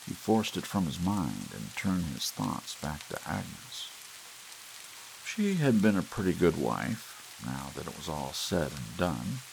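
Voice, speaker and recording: Man narrating an ASMR audiobook, deep low voice, wide lively pitch, expressive storytelling. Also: vocal fry, a little hiss or hum, low-quality recording. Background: soft rain sounds.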